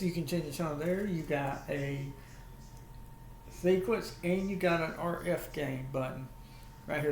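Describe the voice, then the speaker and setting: Southern man vlogging in an echoey room. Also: fairly high pitch. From United States, Alabama. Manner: folksy, repetitive explaining. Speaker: video host. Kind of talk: casual vlog product show-and-tell. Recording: echoey room with faint background noise.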